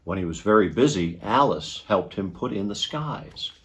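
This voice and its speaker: Guy reading a kids storybook out loud, lowish voice, really swoopy expressive delivery. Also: normal speed, noisy background. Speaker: storyteller, TV weatherman reading aloud.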